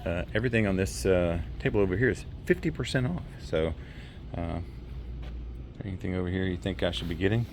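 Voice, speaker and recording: Southern male vlogger, very low voice, rambling with fillers, noisy shop background. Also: fairly quick. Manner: rambling, thinking aloud with many fillers. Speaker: whiskey channel host. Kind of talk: casual store walkthrough vlog. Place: liquor store, indoors. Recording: noisy store ambience.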